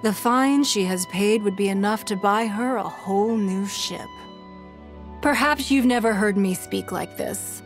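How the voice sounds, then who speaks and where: A woman plays a rich, calculating noblewoman from a fantasy video game. She speaks slowly at a middling pitch, with formal, haughty poise and deliberate phrasing. Music plays behind her voice. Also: natural voice. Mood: cool, calculating. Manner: measured, formal, haughty, unhurried. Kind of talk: video game character voice lines.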